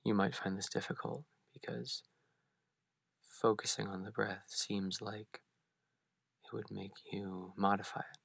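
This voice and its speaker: A man leading a beginners' guided meditation, speaking gently and unhurriedly with long pauses. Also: lowish voice, little pitch movement, gravelly, dry recording.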